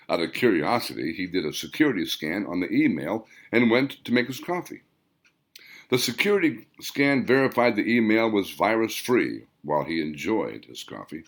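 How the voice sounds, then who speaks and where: A man reading a scripted audiobook story as its author, with deliberate, dramatic phrasing. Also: lowish voice. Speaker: author reading own novel.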